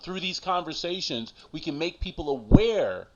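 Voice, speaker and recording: A man's voice: fairly high pitch, expressive, normal speed. A man in a casual group discussion, speaking emphatically and persuasively, often ending points with "right?". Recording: dull lo-fi audio.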